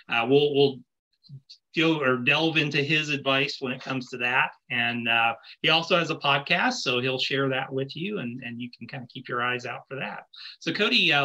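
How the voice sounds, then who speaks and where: A man's voice: medium pitch, sharp tone, low-quality recording. A man hosting a podcast, introducing his guest in an upbeat, folksy way with many fillers. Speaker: podcast host.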